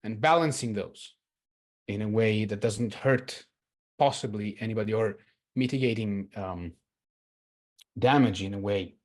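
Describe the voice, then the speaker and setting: A man's voice: on the low side, unhurried, long pauses, darkish tone. A man from Israel speaking as a participant in an online group discussion, earnest and reflective, growing emphatic on his key points, over a video call. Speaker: workshop participant. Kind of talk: personal sharing in a group discussion.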